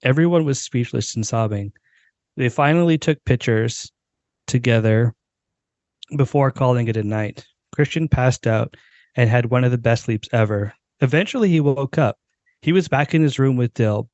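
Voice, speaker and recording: A man's voice: mid-range voice, normal speed, a little mellow, no room sound. A young man who co-hosts a podcast, talking and reading in a plain conversational voice. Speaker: podcast co-host and amateur writer. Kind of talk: dramatic story reading, then casual chat. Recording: dry, slightly dull close recording.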